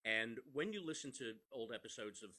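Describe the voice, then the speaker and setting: A man's voice: medium pitch, animated, brisk, pushed and bright. A man explaining things as an interview guest, repeating phrases for emphasis. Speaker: podcast creator, interview guest. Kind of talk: conversational interview.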